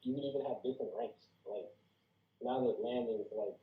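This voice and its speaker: A man's voice: medium pitch, normal speed. A man giving casual feedback in a group discussion over a video call. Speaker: participant giving script feedback. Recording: muffled, echoey video-call audio.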